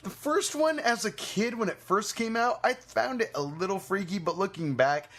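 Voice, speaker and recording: A man's voice: high-pitched, expressive, moderate pace, a bit bright. A man co-hosting a podcast in casual, opinionated banter with friends. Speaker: podcast co-host.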